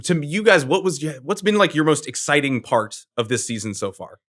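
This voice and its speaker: A man's voice: fairly high pitch, sing-song, fairly quick, a bit bright. Chatty laughing male interviewer. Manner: chatty, laughing, rambling questions. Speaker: podcast interviewer. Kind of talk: casual convention interview.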